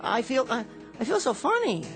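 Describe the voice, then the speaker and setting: A man's voice: extremely high pitch, very animated, normal speed, creaky, music in the background, exaggerated voice. A man playing a meek, fussy little salesman in a radio drama, polite, primly wordy and full of hesitant stammers. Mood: bewildered.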